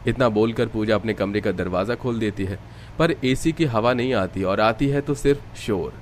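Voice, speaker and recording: Male narrator for an animated domestic story, deeper pitch, scripted read with faint background noise. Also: moderate pace.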